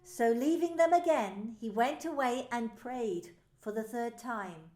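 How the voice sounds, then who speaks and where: A woman narrating a dramatised Bible story in scripted, expressive storytelling. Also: a little high, a little slow. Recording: echoey room with faint music.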